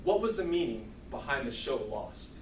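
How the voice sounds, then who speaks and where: A man's voice: mid-range voice, sing-song, normal speed, lightly acted. Man playing a solemn elderly villager, echoey room. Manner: portentous, formal questioning. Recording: roomy, distant mic, clearly noisy.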